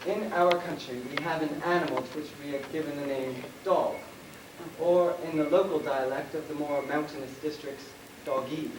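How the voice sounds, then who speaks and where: A man playing a smooth-talking, deferential prince's attendant in an amateur stage comedy, polite and measured. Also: a little high, fairly even intonation, lightly acted. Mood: politely persuasive. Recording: distant, echoey, noisy stage recording.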